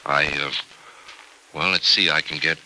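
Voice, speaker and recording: A grave, devoted American friend in a vintage radio serial. The voice is low and very forceful, with thoughtful halting phrases, over clearly audible recording noise. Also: male voice, fairly even intonation, exaggerated voice, poor audio. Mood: insistent, generous. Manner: hesitant, thoughtful, sober. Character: grave, caring male friend, resolute and self-sacrificing. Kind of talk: old-time radio soap opera acting.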